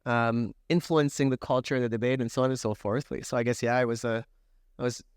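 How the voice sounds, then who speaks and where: A man on a conference panel speaking in a halting way, with many restarts. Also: medium pitch, steady tone, smooth, no room sound, studio quality. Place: conference stage.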